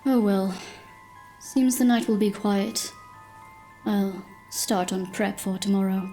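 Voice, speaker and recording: A woman's voice: medium pitch, steady tone, slow, warm tone, soft background music, natural voice. A woman playing an uneasy diner keeper who grumbles to herself in an audio drama. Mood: mildly annoyed. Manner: muttering to herself.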